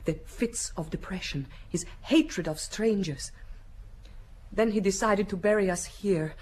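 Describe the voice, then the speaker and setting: An actress playing a fragile, anxious young woman in a radio drama, hesitant, breathy and overwrought. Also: medium pitch, a little slow, a little mellow, lightly acted, low-quality recording. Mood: hesitant, troubled. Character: anxious, fragile young sister of a missing man.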